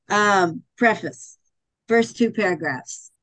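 A woman leading a casual group discussion, speaking hesitantly with frequent pauses and fillers. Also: medium pitch, animated, fairly bright tone, no echo, low-quality recording.

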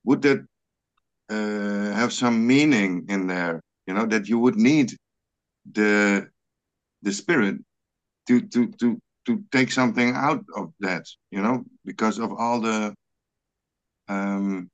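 A man whose first language is Frisian leads an online scripture study in English. His voice sits low and he talks very slowly, often stumbling over repeated words. The sound comes through a video call. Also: muffled recording. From Netherlands. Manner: halting, many repeated words and restarts. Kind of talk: online religious study group discussion.

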